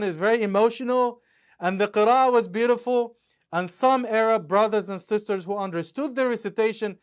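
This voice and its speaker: A man's voice: high-pitched, smooth. A man, an author and imam, explaining his Quran dictionary and how it was made, step by step with examples. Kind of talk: explanatory talk about a book.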